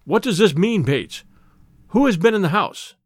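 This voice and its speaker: A man voicing an angry, impatient gentleman in a storybook reading, sharp, curt and demanding. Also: high-pitched, lively intonation, moderate pace, dry recording, studio quality. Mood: alarmed demanding. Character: angry, impatient gentleman interrogating his servant.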